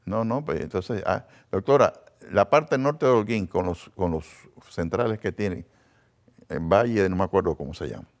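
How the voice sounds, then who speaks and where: A man, a television producer and guest, speaking earnestly with halting restarts. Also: low-pitched. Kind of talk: casual conversation.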